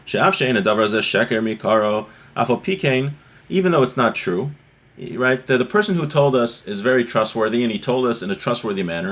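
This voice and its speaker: A man's voice: mid-range voice, fairly bright tone. A man giving a religious lesson, reading from a text and explaining it conversationally with rhetorical questions. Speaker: religious teacher.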